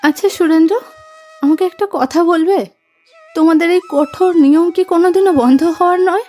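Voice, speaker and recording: A sorrowful young village orphan in a cartoon, voiced by a woman. Her high voice is earnest, plaintive and reproachful, with gentle music playing faintly behind it. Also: normal speed. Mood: sad, hesitant. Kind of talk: dramatic cartoon voice acting.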